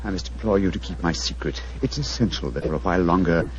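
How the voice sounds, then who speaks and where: Low-voiced British gentleman detective played by a man, weary, formal and precise, old noisy radio recording. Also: fast talker, muffled recording, natural voice. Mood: grave, earnest. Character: weary, courteous famous English detective, posing as explorer. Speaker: radio drama actor. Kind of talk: 1940s radio acting.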